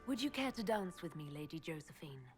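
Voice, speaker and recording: A woman playing a composed, dry-witted heroic leader in a video game, with a measured, understated manner. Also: on the low side, sing-song, soft background music. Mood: weary, gentle. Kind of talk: dramatic video game acting.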